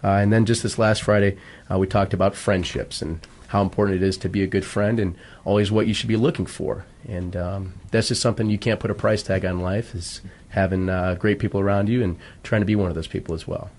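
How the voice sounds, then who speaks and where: A man speaking as a school football coach in a radio interview, earnest and halting as he explains. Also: sits low, fairly even intonation, darkish tone.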